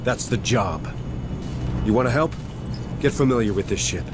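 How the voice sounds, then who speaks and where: An actor playing a gruff, stubborn American Marine sergeant, blunt and commanding, in a video game. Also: male voice, on the low side, expressive, moderate pace, music in the background, natural voice. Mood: firm, resolute. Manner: blunt, commanding, folksy military talk.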